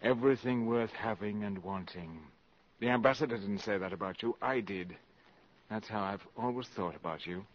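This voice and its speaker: An actor playing a calculating, ambitious valet in a 1950s radio drama, speaking formally and deferentially but with self-assurance. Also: male voice, lowish voice, normal speed, close to an everyday voice. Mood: bold, intimate. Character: smooth, calculating, ambitious valet addressing a countess. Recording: muffled vintage 1950s radio recording.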